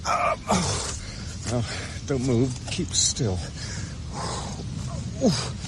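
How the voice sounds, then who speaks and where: An actor playing a wounded, dogged young British fugitive on the run, muttering urgently to himself with effort in a radio drama. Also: male voice, medium pitch, big pitch swings, drawn-out words. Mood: pained, tense. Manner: groaning, effortful, urgent self-talk. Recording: noisy outdoor sound effects behind voice.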